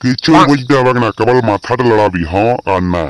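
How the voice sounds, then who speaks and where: A man's voice: mid-range voice, a little fast, heavy background noise, natural voice. A man voicing a bossy, scolding village father of two grown sons in a comic cartoon. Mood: stern, instructing. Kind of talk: comic cartoon dialogue in Bengali.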